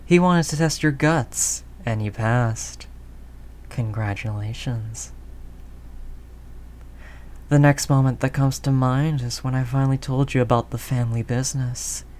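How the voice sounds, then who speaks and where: Low-voiced, doting mafia girlfriend played by a woman. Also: unhurried, long pauses, a little mellow. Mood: fond, teasing, then admiring. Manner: affectionate, slangy tough talk with pet names. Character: tough, doting mafia heiress girlfriend, tender and confident. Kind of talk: intimate audio roleplay monologue.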